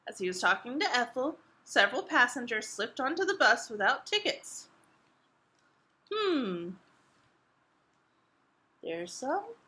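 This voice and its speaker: A woman reading a picture book aloud to toddlers in an expressive storytime manner, pausing to put questions to the young listeners. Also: a little high. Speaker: children's librarian reading a storytime.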